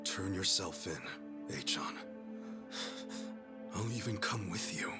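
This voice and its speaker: A man's voice: low-pitched, slow pace. An actor playing an easygoing, sincere, loyal man in a video game cutscene, with an American accent and informal, earnest delivery. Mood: grave, earnest. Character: easygoing, loyal, sincere adult man, a yakuza's forgiving pal. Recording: music behind the voice.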